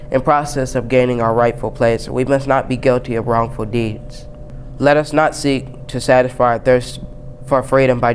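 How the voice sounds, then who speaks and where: A man's voice: mid-range voice, a little hiss or hum. A young male student reading a formal historic speech aloud from a text. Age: young teen, 7th grade student. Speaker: student reader.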